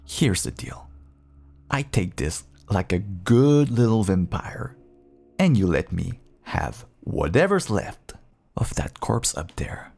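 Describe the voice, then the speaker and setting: A man playing a feral, manipulative vampire husband in an audio roleplay, mocking and hungry, drawling his endearments in a husky, gravelly voice. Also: deep voice, big pitch swings, a little mellow, exaggerated voice. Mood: smug, taunting. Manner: slow, taunting, drawling endearments. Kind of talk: intimate audio roleplay acting.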